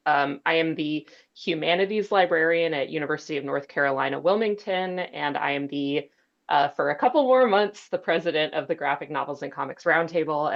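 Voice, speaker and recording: A woman with a very low-pitched voice and a lot of vocal fry speaks as a librarian on a panel. The delivery is an informal introduction. The webinar audio is somewhat dull and lo-fi.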